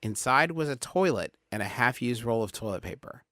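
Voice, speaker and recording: A man's voice: medium pitch, lively intonation, brisk, pushed and bright, no room sound. A man hosts a comedy podcast in a casual, joking style. He moves between reading aloud and riffing. The sound is dry, with no room around him. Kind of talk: casual comic banter and reading out listener questions.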